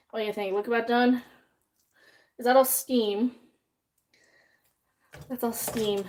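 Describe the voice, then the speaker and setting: A woman chatting casually on a livestream while she cooks in her home kitchen, sniffly from chopping jalapenos and reading viewer comments aloud. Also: a little high. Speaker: livestream host cooking.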